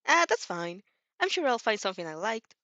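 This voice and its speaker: A woman's voice: medium pitch, big pitch swings, fairly quick, bright, forceful tone, no room sound. Woman voicing eager, cheerful young story heroine. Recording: dry, slightly dull lo-fi recording.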